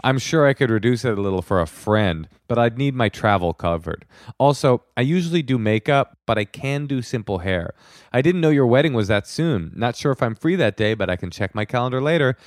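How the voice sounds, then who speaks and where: A man's voice: medium pitch, lively intonation, fairly quick, no room sound, clean studio sound, close to an everyday voice. A man playing a put-upon British woman makeup artist in a dramatic reading of texts, polite, clipped and firm. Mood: politely evasive. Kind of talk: dramatic reading of text messages.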